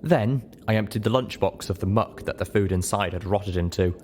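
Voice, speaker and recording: A man's voice: fairly low pitch, moderate pace, a little mellow, slight background noise. A man reading a first-person story aloud as a dramatic audiobook narration. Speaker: audiobook reader.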